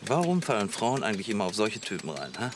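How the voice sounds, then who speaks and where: An actor playing a grumbling, jealous macho husband in a comic radio play, blunt and sarcastic. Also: male voice, on the low side, very animated, fast talker. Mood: sneering. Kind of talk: radio play comedy dialogue. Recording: clear background noise.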